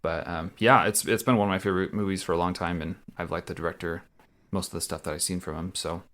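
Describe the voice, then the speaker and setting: A man's voice: deep voice, fairly even intonation, quick pace, a bit bright. A young man co-hosting a movie podcast in casual chat, rambling with fillers and easy laughs. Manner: chatty, lots of fillers and laughs. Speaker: podcast co-host.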